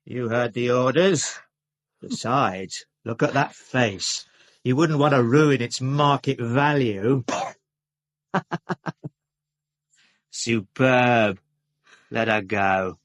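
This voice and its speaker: Radio play villain: male crime boss, cool and deliberate, unhurried, close dry sound. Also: medium pitch, dry recording. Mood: cold, controlled. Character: cold, controlled male criminal in charge of a henchman. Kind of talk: radio drama acting.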